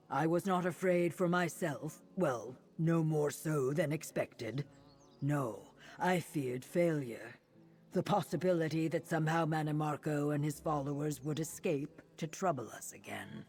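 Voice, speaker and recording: An actor playing a calm, thoughtful hero in a fantasy game, speaking in a measured, formal way. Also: male voice, a little high, soft background music. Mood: calm, relieved. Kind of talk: fantasy video game dialogue.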